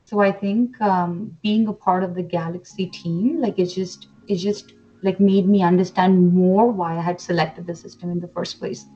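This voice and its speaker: A woman's voice: fairly low pitch, steady tone, moderate pace, hardly any pauses, warm tone, faint music behind. Woman in her twenties on a muffled video call. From India. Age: 20s. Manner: chatty, enthusiastic, with fillers and restarts. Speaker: pageant titleholder guest. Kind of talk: casual interview.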